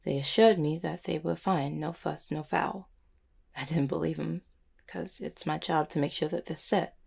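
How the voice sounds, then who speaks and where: A woman plays an anxious, guilt-ridden cupid with a low-set, gravelly voice. The delivery is slangy and halting, full of swearing and raw feeling, in an intimate audio drama style. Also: fairly even intonation, a little mellow, exaggerated voice. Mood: uneasy, subdued. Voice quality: husky, gravelly. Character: anxious, guilt-ridden cupid who helps clients, streetwise. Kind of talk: intimate audio roleplay acting.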